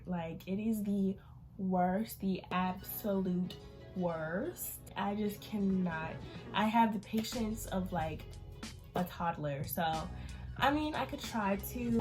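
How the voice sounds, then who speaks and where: A woman's voice: mid-range voice, darkish tone. Chatty young woman with a stuffy nose. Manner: chatty, informal, lots of fillers. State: nasal from allergies. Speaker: vlogger. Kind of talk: casual Q&A chat to camera.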